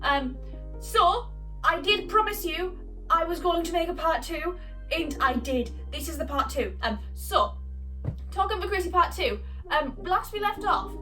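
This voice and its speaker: A woman's voice: extremely high pitch, lively intonation, sharp tone, recorded from a distance, faint music behind, low-quality recording. A woman talking in a casual, chatty vlog style as host, rambling and often interrupting herself. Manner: rambling, halting, self-interrupting. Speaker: video creator and host. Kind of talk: casual chatty vlog about audio production.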